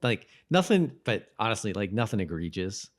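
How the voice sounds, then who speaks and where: A man co-hosting a podcast, chatting casually about a TV show in a halting, hedging way. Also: on the low side, very animated. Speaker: podcast co-host.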